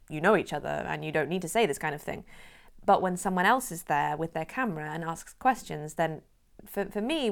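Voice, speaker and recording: A woman film director who grew up in Europe, calmly explaining how she made her film in an interview. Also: lowish voice. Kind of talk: interview answers.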